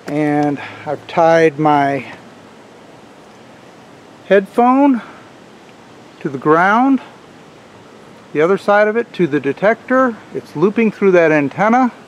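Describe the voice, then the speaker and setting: Male, middle-aged hobby host, slightly high pitch, casual and explanatory, camera audio with faint noise. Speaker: host of a radio restoration channel. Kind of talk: hands-on demonstration to camera. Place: outdoors on a wooden deck or driveway, also at a workbench.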